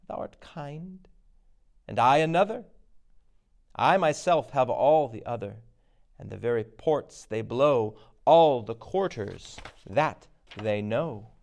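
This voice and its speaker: A man's voice: mid-range voice, vocal fry, a little mellow. A man voicing spiteful old bearded witches in a dramatic Shakespeare reading, chanting prophecies in rhyme with sudden cries.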